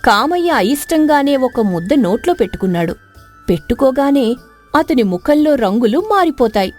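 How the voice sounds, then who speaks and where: A woman's voice: high voice, sing-song, moderate pace, a little mellow, faint music behind. A woman narrating a scripted story in a dramatic storytelling style.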